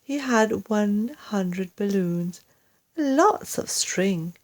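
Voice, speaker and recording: A woman narrating a children's story in a deliberate, expressive storytelling manner. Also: mid-range voice. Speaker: storybook reader, English teacher.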